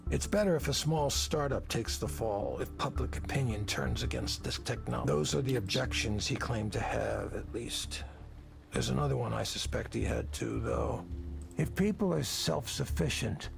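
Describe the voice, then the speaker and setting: An actor playing a jaded, whiskey-drinking tech visionary in a visual novel, speechifying persuasively in a ragged, creaky voice. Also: male voice, medium pitch. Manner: speechifying, persuasive, world-weary. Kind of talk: dramatic visual novel voice acting.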